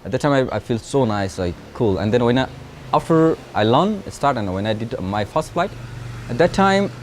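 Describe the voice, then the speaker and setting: A man from Nepal with a Nepali accent, a paragliding pilot telling his story in an interview in halting English full of restarts. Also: mid-range voice, very animated, a little hiss or hum. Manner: halting non-native English with many restarts. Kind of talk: interview, recounting his life.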